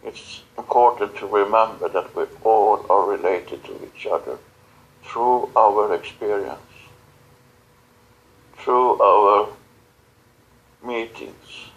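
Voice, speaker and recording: Slow, halting man channeling a spiritual message. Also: fairly low pitch, dull audio. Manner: halting, solemn, broken phrasing. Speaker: channeler in guided visualization session.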